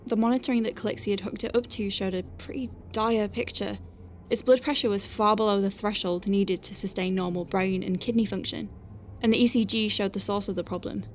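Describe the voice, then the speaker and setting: A woman's voice: mid-range voice, a little hiss or hum, natural voice. A woman playing a dry-witted, capable doctor on a space station, dictating clinical notes with wry conversational asides in an audio drama. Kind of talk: science-fiction audio drama, spoken log.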